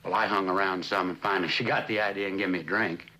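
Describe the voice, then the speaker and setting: An actor playing a defensive working-class handyman giving blunt, ungrammatical, halting testimony in a television courtroom drama. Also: low-pitched, fairly even intonation, pushed and bright. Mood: defensive, sullen. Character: defensive, plain-spoken working-class building handyman on trial.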